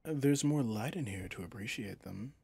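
Man acting a husky, breathy villain prince. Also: mid-range voice, animated, no echo, heavily acted. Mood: coolly genteel. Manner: soft, measured, formal courtly phrasing. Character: possessive villain prince, smoothly manipulative and menacing. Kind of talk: roleplay ASMR audio drama.

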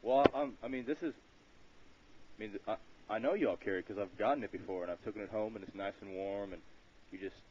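A man's voice: fairly low pitch, quick pace. A man making a casual prank phone call, rambling and slangy while keeping a straight face. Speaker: prank caller. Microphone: telephone. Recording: muffled noisy phone line, clicks.

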